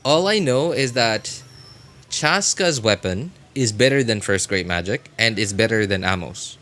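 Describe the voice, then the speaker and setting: Low, slow-talking man streaming a game. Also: lively intonation, fairly bright tone. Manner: rambling, self-correcting, halting delivery. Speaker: game livestreamer. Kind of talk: casual live-stream chat and gameplay commentary.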